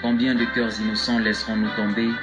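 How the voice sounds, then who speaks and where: Man, lowish voice, solemn measured recital, loud guitar music under it. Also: little pitch movement. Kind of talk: solemn recited peace message.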